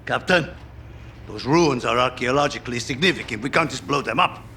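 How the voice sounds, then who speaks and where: Game casting: cautious, principled officer, earnest and measured, gravelly edge, forceful tone. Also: male voice, medium pitch, slight background noise. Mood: earnest, concerned. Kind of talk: cinematic military game dialogue.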